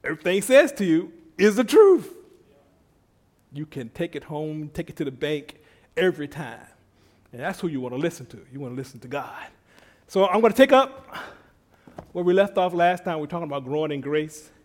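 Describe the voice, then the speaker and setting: Man, middle-aged preacher, folksy conversational sermon, soft-spoken voice, bursts of excited praise, slightly high pitch. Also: normal speed.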